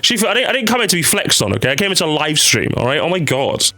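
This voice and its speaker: Male game streamer, rapid and very animated, rambling commentary with slang, sarcasm and mock drama. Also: fairly high pitch.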